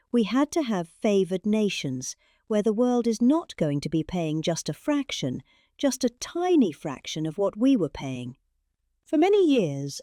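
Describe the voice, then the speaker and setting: Calm, mellow British woman reading. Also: medium pitch, no echo, studio quality. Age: middle-aged. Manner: calm, measured, with long pauses and heavy emphasis. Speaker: reader. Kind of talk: deadpan reading of a political speech.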